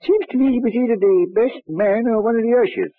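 A man's voice: very high-pitched, fast talker. An actor playing a talkative, eager, middle-aged Irish policeman who rambles and stammers respectfully, in an old radio detective drama. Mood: earnest, muddled. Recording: dry, tinny old radio recording.

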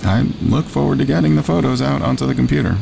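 A man playing a steady, laconic wildlife photographer on a winter camping trip, understated and calm, in a naturalistic audio drama. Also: mid-range voice, fast. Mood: calm, practical. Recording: clear outdoor ambience noise behind.

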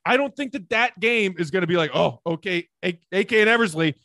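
A man with a slightly high voice hosts conversational sports talk. He lays out his argument point by point and leans on key phrases, in a very dry recording. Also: animated, brisk, pushed and bright, no echo. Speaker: sports podcast host.

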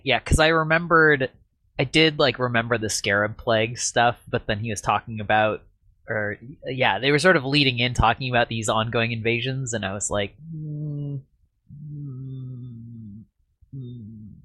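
A man with a slightly raspy voice chats casually as a podcast host. He rambles and laughs at himself. The sound is dry and close to the mic. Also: mid-range voice, unhurried, pushed and bright, no room sound.

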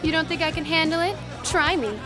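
High-pitched brazen teen cheerleader. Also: female voice, brisk. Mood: brazen, teasing. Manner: teasing, cocky. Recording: music and busy crowd behind.

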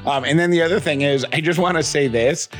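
A man's voice: on the high side, fast talker. Man co-hosting a casual podcast, music behind. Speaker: podcast co-host. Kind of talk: casual chat.

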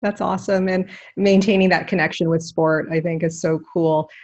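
A woman's voice: on the low side, fairly even intonation, normal speed, highs cut off. A woman hosting a podcast, chatting with a guest in a friendly, encouraging way. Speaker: podcast host, physiotherapist. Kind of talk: casual interview chat.

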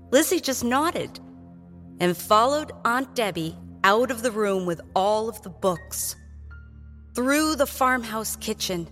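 A woman's voice: medium pitch, fairly bright tone. A woman narrating a scripted fantasy tale for children in an audio drama.

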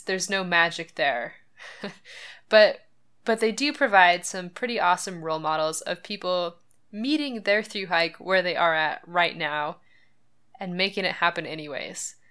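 A woman with a lowish, smooth, clear voice hosts a podcast. She talks in an earnest, chatty way and laughs lightly now and then. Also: a bit bright. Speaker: podcast host. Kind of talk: scripted podcast monologue.

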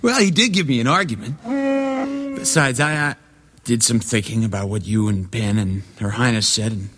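A husky-voiced, roguish space pilot in a 1980s radio drama. His delivery swings in pitch, with wry, hesitant admissions tossed off as if they hardly matter. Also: lively intonation, normal speed, a bit bright. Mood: gruff, sheepish. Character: roguish, stubborn-proud space pilot, softening.

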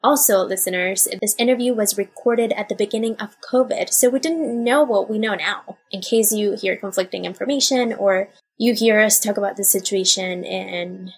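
A woman's voice: mid-range voice, moderate pace, hardly any pauses, breathy edge. A woman hosting a bilingual podcast, reading an upbeat scripted introduction with Spanish phrases mixed in. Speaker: podcast host.

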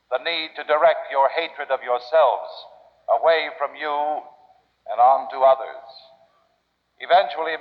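An actor playing a calm, logical robot leader in a 1950s radio drama, speaking in a formal, measured, instructive way. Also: male voice, medium pitch, muffled recording, close to an everyday voice. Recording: old radio broadcast, long hollow reverb, band-limited.

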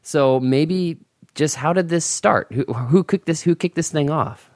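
A man hosting a podcast interview who reacts excitably and asks questions in a loose, chatty way. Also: fairly high pitch, no room sound, studio quality. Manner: excitable, quick reactions, laughs easily. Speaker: podcast host.

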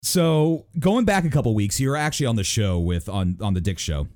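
A man's voice: on the low side, animated, a little fast, a little mellow, dry recording, clean studio sound. A man hosting a casual, bantering interview in a teasing, profane way. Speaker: host.

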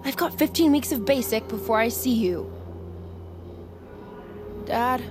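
A woman's voice: a little high, measured pace, over music, lightly acted. A young woman with an American accent playing an earnest, uneasy army recruit in an audio drama. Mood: urgent, confused. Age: young adult. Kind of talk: naturalistic audio drama acting.

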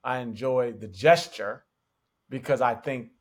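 A man's voice: mid-range voice, unhurried. A man with a mid-range voice hosts a tennis podcast. He chats easily with his guest and keeps things loose. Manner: relaxed, conversational. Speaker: podcast host. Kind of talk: podcast interview.

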